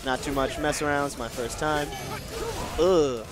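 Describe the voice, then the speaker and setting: A man doing casual stream commentary for a fighting game event, reading viewer questions and answering them. His voice is nasal, and he tries to keep it lower than usual. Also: fairly even intonation, measured pace, over music, a crowd in the background.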